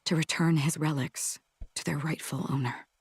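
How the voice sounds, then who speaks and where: An actress playing a calm, enigmatic exiled warrior in a video game, grave and measured. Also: female voice, low voice, husky, dry recording, heavily acted. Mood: calm, solemn. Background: game music.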